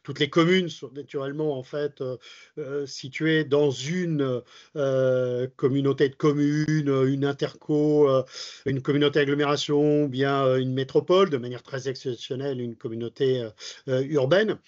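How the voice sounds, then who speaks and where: A man giving a formal, didactic explanatory talk, speaking haltingly with very frequent hesitations and filler phrases. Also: medium pitch, fairly even intonation, darkish tone, muffled recording. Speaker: presenter of advice capsules for local election candidates. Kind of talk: semi-improvised explanatory talk to camera.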